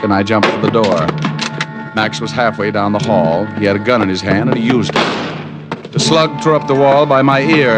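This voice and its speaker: A hard-boiled detective, voiced by an actor narrating an old radio crime story. His patter is dry and wisecracking, set at a mid-range pitch. Band music runs clearly behind him. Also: close to an everyday voice. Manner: wisecracking, deadpan tough-guy patter. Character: hard-boiled male private detective, wry and tough. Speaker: narrator and lead. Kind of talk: 1950s radio drama narration.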